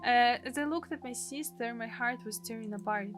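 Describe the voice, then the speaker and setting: A woman's voice: on the high side, moderate pace, music in the background. A woman from Russia with a Russian accent, a streamer expressively reading and translating a game's story aloud in English. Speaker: gaming streamer. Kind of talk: live read-aloud translation of game dialogue with narration.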